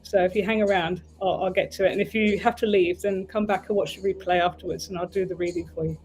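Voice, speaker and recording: A woman's voice: lowish voice, steady tone, fast talker, darkish tone, poor audio. Chatty English woman, livestream host. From United Kingdom. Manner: chatty, meandering, often breaks off to fix the stream. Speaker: tarot reader and livestream host. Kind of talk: casual livestream chat.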